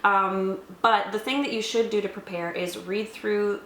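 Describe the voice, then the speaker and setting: A woman's voice: mid-range voice, steady tone, measured pace, fairly bright tone. A woman in casual conversation, explaining things thoughtfully as a tabletop game reviewer and game master. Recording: roomy echo, faint background noise.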